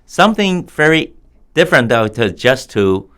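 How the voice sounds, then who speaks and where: A man's voice: medium pitch, big pitch swings, normal speed, a bit bright, clean tone. A man in his fifties from Texas with a Texas accent, a guest telling earnest stories from his past in a casual interview. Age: 50s. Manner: reminiscing, earnest storytelling.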